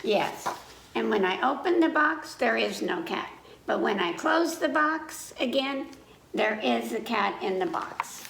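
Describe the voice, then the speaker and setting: Storybook talking cake, played by a woman, simple certain explanations, unhurried, roomy sound with faint noise. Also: fairly high pitch, expressive, vocal fry, exaggerated voice. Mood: matter-of-fact. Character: matter-of-fact talking cake in a children's picture book. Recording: echoey room, faint background noise.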